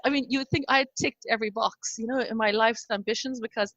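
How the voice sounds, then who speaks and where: A woman's voice: fairly high pitch, fairly even intonation, fast, a bit bright, no echo, dull audio. An Irish woman in her fifties, a guest telling her story in a casual interview and laughing often. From Ireland. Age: 50s.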